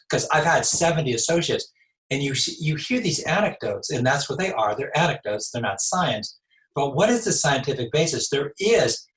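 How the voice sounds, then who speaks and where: A man in his sixties with a slightly raspy voice, a chiropractor giving measured explanatory answers in an interview. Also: mid-range voice, a bit bright. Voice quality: a little raspy. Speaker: chiropractor guest. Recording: echoey room.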